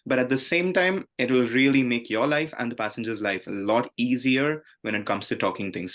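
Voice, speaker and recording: A man's voice: fairly low pitch, a bit bright. A man from India, an airport passenger service agent, explaining at length with some hesitations. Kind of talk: casual panel talk.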